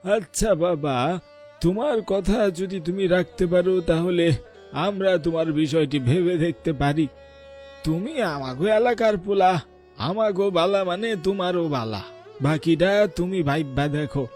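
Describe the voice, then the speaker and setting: A man acts out an elderly cartoon villager with a notably high voice and a rural Bengali dialect. He is weary, folksy and given to grumbling. Light music runs underneath. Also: moderate pace, darkish tone, natural voice. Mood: conciliatory, tired. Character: complaining old male villager, plain-spoken and weary. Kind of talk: dramatized cartoon dialogue.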